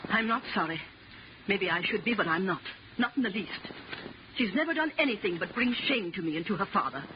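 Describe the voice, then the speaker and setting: An imperious society wife acted by a woman with a fussy, cold manner. Her delivery swings widely in pitch, in theatrical 1950s radio style. The old recording is slightly muffled with faint hiss. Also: animated, fairly quick, lightly acted. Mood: cold, indignant. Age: middle-aged or older. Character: haughty, cold, nagging society mother. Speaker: supporting actor. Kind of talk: 1950s radio drama acting.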